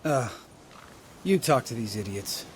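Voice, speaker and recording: An actor playing a cocky, hot-headed younger monster hunter in a video game, brash and mocking. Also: male voice, medium pitch, animated, a little slow, a little hiss or hum, lightly acted. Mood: scornful. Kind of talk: video game character acting. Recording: loud music bed under dialogue.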